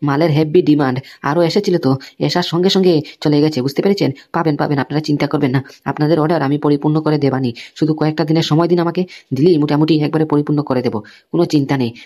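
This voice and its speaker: A man's voice: a little high, fairly even intonation, rapid-fire, a little mellow, lightly acted. A man playing a placating goods supplier in a comic cartoon, full of reassuring, repetitive salesman's patter. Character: smooth-talking, placating male goods supplier.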